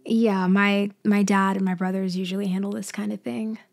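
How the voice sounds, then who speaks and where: A woman playing a cool, teasing, controlling mafia leader in an ASMR roleplay, speaking deliberately in a murmur that often drops toward a whisper. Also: medium pitch, flat delivery, creaky, dry recording, lightly acted. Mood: coy, playful. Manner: slow, deliberate, softly taunting, often dropping to a whisper. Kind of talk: ASMR roleplay audio acting.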